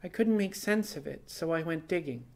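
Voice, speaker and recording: A man's voice: a little high, lively intonation, normal speed, vocal fry, voice pushed up. A man voicing a young female rabbit fugitive in audio fiction, resigned and apologetic, talking in a plainspoken, hesitant, confessional way. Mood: troubled. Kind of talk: audio fiction character dialogue.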